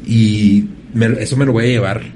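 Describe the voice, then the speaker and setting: A man from hosting a casual interview, relaxed and slangy with frequent restarts. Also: lowish voice, normal speed, a little hiss or hum. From Ciudad Juárez, Mexico. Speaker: podcast host.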